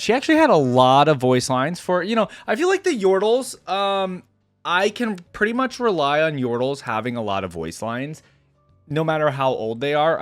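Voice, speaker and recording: A man's voice: mid-range voice, no room sound. A man hosting a casual reaction to game voice lines, with an amused, easygoing manner. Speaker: host of a game lore reaction channel.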